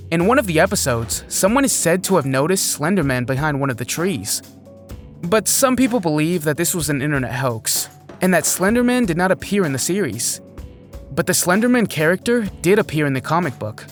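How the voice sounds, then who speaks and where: A man reading scripted narration for a list video, presenting facts and putting questions to the viewer. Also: fairly high pitch. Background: music.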